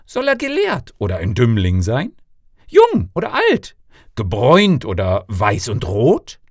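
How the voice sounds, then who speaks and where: A man's voice: mid-range voice, wildly swooping pitch, a little slow, no echo, clean studio sound, exaggerated voice. A man voicing a doting, eager king and father in a storybook reading, emphatic and declamatory. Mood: doting, expansive.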